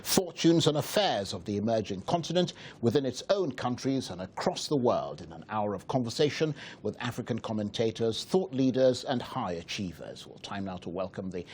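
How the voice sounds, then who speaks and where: A man hosting a broadcast news interview program, asking measured questions. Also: fairly low pitch, expressive, darkish tone, a little hiss or hum. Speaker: TV interview host.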